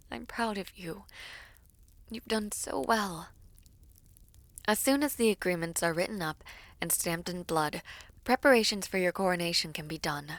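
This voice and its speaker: A woman playing a devoted princess in an audio roleplay, tender and formal, with measured, hesitant phrasing. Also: medium pitch, a bit bright, no echo. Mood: proud, encouraging. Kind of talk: intimate audio roleplay acting.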